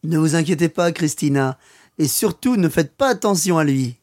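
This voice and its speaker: A man's voice: on the high side, animated, fairly quick, darkish tone, dry recording, natural voice. Male actor as friendly French dad, reassuring, learners' radio play, close dry recording. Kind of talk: scripted language-course radio play.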